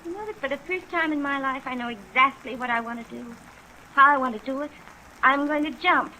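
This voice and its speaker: A woman's voice: sits high, a little fast, a little hiss or hum, low-quality recording. An actress playing a desperate, bitter, fearful young woman in a 1950s radio drama, emotional and plaintive, on a vintage recording. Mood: weary, despairing. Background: steady rain sounds.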